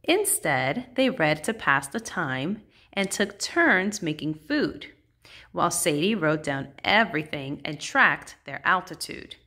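Give this a woman reading a children's rhyming picture book. She takes her time, with a measured, sing-song delivery. Her voice sits a little low but swings widely in pitch as she tells the story. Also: sing-song, pushed and bright. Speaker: children's book read-aloud host. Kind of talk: expressive picture-book read-aloud in rhyme.

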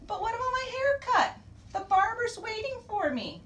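A woman voicing an excited, eager little boy in a storybook reading. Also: very high voice, moderate pace, exaggerated voice. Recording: roomy distant recording with faint hiss.

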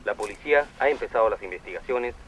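A man's voice: fairly low pitch, animated, slight background noise, poor audio. A man reading a formal news report about a crime. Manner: formal, measured delivery. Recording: clip played under loud music. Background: loud music.

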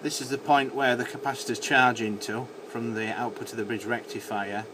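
A man's voice: mid-range voice, fairly even intonation, normal speed. A man giving an unscripted, matter-of-fact technical demonstration as a repair technician at a workbench. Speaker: electronics repair technician presenting. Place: indoor electronics workbench. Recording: noisy handheld camera audio in workshop. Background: clear background noise.

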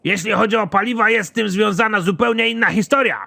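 Casting: male actor as foul-mouthed cartoon general, high and sharp, bossy, easily exasperated. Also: fast talker, creaky, exaggerated voice. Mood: emphatic. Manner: commanding, crude, exasperated. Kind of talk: animated comedy dialogue.

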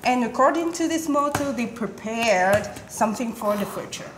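A woman's voice: a little high, animated, normal speed. A young woman co-hosting in casual chat, speaking playfully and expressively in simple short phrases. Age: young adult. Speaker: show co-host. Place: indoor studio at a table. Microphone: lapel mic. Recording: roomy echo, faint noise and clicks.

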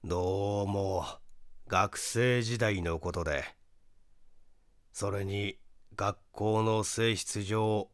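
Man reading as a subdued, slow-spoken brother. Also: on the low side, fairly even intonation, no room sound, studio quality. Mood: subdued, explaining. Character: reserved, grieving young man recalling his dead sister. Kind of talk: audiobook character dialogue.

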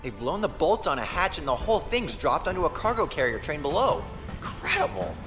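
An actor playing a dutiful lieutenant of station security in a science fiction audio drama, with a clipped, official manner. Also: male voice, on the high side, sing-song, a little fast, a bit bright. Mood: alarmed. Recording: music behind the voice.

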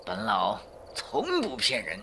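An actor playing a mysterious, cunning old master in a Chinese costume audio drama, theatrical, lofty and coaxing. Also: male voice, on the low side, huge pitch swings, takes it slowly, bright, forceful tone, slight background noise, full character voice. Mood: lofty, puzzled. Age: older.

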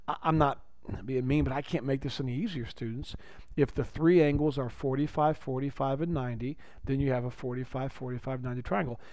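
A man with a raspy voice teaching a geometry lesson, upbeat and chatty, repeating key phrases for his students. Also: mid-range voice, mellow, no room sound. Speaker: math teacher. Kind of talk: recorded classroom lecture.

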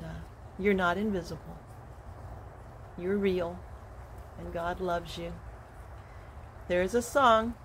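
An older woman in her sixties speaks slowly and earnestly, recording on a phone held at arm's length on a covered porch outdoors. Her voice sits at a middle pitch, and a faint outdoor hum runs underneath it. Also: rough recording. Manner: slow, gentle and earnest, with soft laughs. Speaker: retired teacher sharing a devotional. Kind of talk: casual devotional walk-and-talk vlog.

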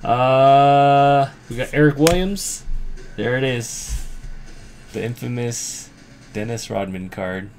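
A man hosting a card break, reading out names as he opens packs and chatting with viewers, with sudden bursts of excitement. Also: medium pitch, takes it slowly, soft background music. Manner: reads names aloud, animated bursts of excitement, chats with viewers. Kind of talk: casual live card-opening commentary. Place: indoor room, hands over a table of card boxes.

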